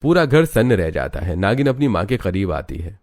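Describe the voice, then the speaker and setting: A man's voice: deep voice, big pitch swings, normal speed, darkish tone. A man narrating a scripted family drama story in a dramatic storytelling style.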